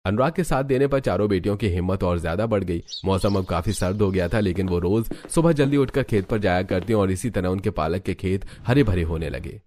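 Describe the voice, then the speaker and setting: Low-voiced man narrating a story. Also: fairly quick, few pauses, a little mellow, no room sound. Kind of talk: scripted cartoon story narration.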